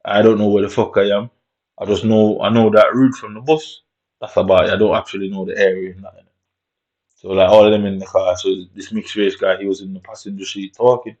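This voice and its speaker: A man's voice: lowish voice, level tone, brisk, darkish tone. A man telling a story in a casual monologue, in streetwise slang, swearing and tagging lines with "innit". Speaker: vlogger. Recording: dull lo-fi recording.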